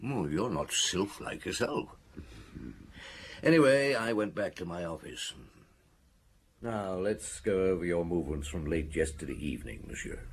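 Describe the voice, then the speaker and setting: An actor playing a middle-aged French police inspector in an audio drama, dry and patient, with thoughtful hums. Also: male voice, low-pitched, animated, slightly rough, exaggerated voice. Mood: patient, probing. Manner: unhurried, murmuring thoughtful hums. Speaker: police detective.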